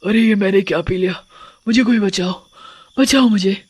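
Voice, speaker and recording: A woman voicing a menacing, gloating drunken witch with drawn-out, cackling delivery for a horror-comedy story. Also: mid-range voice, a little mellow, slightly rough, no room sound, lightly acted. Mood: pained, panicked.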